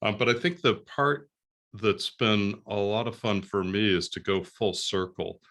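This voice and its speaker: A man with a very low voice that still sounds bright and forceful. He is a professor on a video call panel and talks in a friendly, lively way. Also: a little slow.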